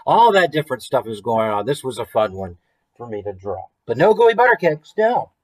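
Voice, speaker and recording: A man's voice: medium pitch, big pitch swings, moderate pace, darkish tone, poor audio. An American man in his thirties reading a children's book expressively, with chatty asides and questions to the listeners. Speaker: children's author reading own book to viewers. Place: small room at home, indoors.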